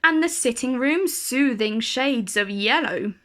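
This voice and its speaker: A woman reads a storybook aloud for children. Her voice is fairly high and bright, with animated intonation and careful, expressive phrasing that suits young listeners. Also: measured pace. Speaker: storyteller reading a children's picture book.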